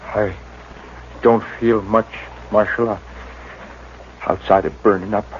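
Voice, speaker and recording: An actor playing a feverish, wounded middle-aged homesteader in a 1950s radio drama, weary, halting and resigned. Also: male voice, lowish voice, steady tone. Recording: dull 1950s radio broadcast, faint hiss.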